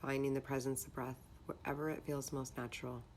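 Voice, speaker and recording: A woman leading a guided meditation in a calm, measured, instructional manner. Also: very deep voice, a little hiss or hum.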